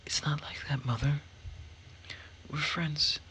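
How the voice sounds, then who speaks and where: A man's voice: on the high side, fairly even intonation, slow pace, bright, forceful tone, voice pushed up, rough recording. A man voicing an anxious, timid young daughter in a visual novel, speaking hesitantly and defensively. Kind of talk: visual novel read-aloud. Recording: background noise.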